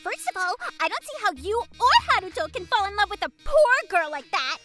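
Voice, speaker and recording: Very high, catty party girl. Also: very animated, a little fast, over music. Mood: scornful. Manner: catty, haughty.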